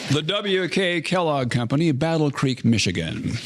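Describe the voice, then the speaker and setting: A man's voice: on the high side, sing-song, moderate pace, crowd noise. A man playing a courteous, theatrical 1940s radio show announcer with formal delivery. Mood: showy, formal. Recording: roomy echo, clear background noise.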